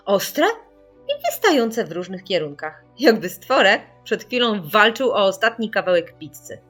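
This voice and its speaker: A woman reading a children's story in an expressive, theatrical storytelling manner. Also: mid-range voice, slightly rough. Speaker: audiobook narrator.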